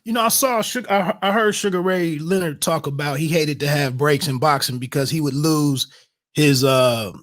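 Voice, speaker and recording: Male interviewer, slightly raised pitch, loose wordy questions. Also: normal speed, fairly bright tone. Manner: long winding questions with fillers.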